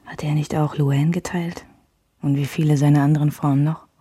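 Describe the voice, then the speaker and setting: A woman's voice: sits low, level tone, moderate pace, dark tone, husky, no echo. An actress playing a wistful, candid woman recalling a love triangle in intimate, reflective first-person radio drama narration. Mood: hurt, reflective. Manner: reflective, alternates German with English phrases.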